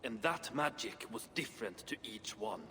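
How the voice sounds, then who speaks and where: A man's voice: lowish voice, animated, normal speed, sharp tone. An actor playing a sceptical, dry-humoured dwarf craftsman with a terse, dismissive way of talking in a video game. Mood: grave, gruff. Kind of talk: video game cutscene acting. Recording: long reverb, faint background noise.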